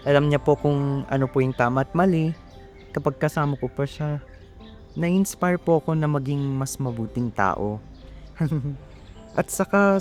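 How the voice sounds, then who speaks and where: Guy acting a young filipino suitor, polite n nervous, voice on the high side, bit of music behind. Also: darkish tone, lightly acted. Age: young adult. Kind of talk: amateur radio drama acting.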